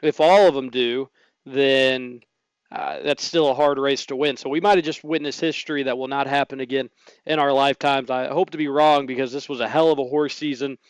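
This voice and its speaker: A man hosting a radio sports talk show, his voice a little hoarse, talking in an energetic, chatty way. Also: medium pitch, a little fast, no room sound.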